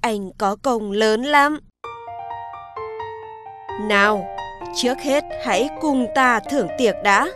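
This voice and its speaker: A woman voicing a stately, benevolent sea king in a cartoon folktale, formal and measured. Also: a little high, over music, pitched below the performer's own voice. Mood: gracious.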